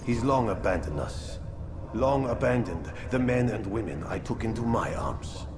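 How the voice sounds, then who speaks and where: An actor playing a defiant, contemptuous dying slaver in a video game, speaking with dramatic, scornful rhetoric. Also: male voice, on the low side, expressive, normal speed, background noise, close to an everyday voice. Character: defiant dying male slaver, proud, contemptuous, self-justifying. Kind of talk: video game cutscene acting.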